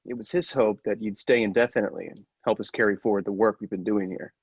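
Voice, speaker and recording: A man's voice: lowish voice, steady tone, quick pace, breathy edge, no echo. A man playing an inventor's earnest, persuasive assistant in an old-style radio play, with a theatrical, urging manner. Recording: dull lo-fi, dry sound.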